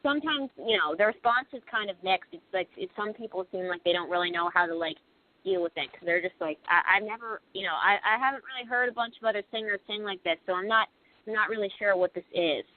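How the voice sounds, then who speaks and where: A woman's voice: mid-range voice, fast. A young woman from Pennsylvania, a band singer guest on a casual radio interview, rambling with lots of "like" and "you know". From Pennsylvania, USA. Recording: lo-fi phone-like radio recording.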